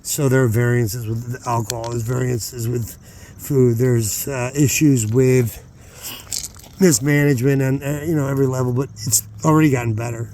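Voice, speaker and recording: A middle-aged man chatting informally to viewers in a rambling vlog, halting as he thinks aloud and repeating words for emphasis. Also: mid-range voice, fairly even intonation, dark tone, a little hiss or hum. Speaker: vlogger, hobby rockhound.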